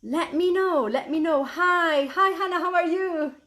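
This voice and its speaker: A woman's voice: extremely high pitch, a little slow, a bit bright. A middle-aged woman from Malta, an online business mentor, talking eagerly on a live stream and greeting viewers by name. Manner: upbeat, eager, repeats "super" a lot, greets viewers by name. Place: at home at a computer.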